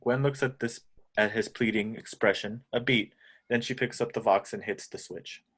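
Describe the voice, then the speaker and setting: A man with a fairly deep voice serves as narrator. He reads out stage directions and action lines from a film script. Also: fairly even intonation, normal speed, a bit bright, slightly rough.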